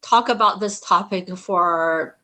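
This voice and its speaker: A woman's voice: lowish voice, takes it slowly, pushed and bright. A woman in her fifties with a Chinese accent, talking casually as an interview guest. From China. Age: 50s. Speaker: interview guest, author and coach.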